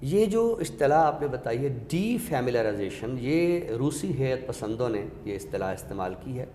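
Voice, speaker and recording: Male literary expert, didactic and methodical, mid-pitched, recorded in a reverberant room. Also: very animated, normal speed, warm tone. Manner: didactic, explains step by step with examples. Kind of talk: explanatory literary discussion. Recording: echoey reverberant room with background noise.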